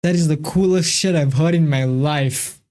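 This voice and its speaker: A man's voice: a little high, normal speed, a little mellow. A man hosting a reaction video, talking casually and emphatically, repeating himself and swearing freely.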